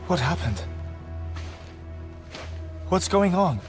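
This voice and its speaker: An actor playing a courteous, melancholy middle-aged male scientist in a video game, with a measured, reflective and articulate delivery. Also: high voice, very animated, faint music behind, full character voice. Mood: desperate, anguished. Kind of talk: cinematic video game acting.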